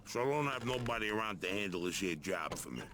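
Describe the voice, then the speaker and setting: Man acting a deep-voiced, callous American mob boss. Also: expressive, fast, noisy background, lightly acted. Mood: gruff, businesslike. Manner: bullying, streetwise working-class slang. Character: arrogant, callous male dockside mob boss. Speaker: voice actor in a crime game cutscene. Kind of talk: gangster drama acting. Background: loud music.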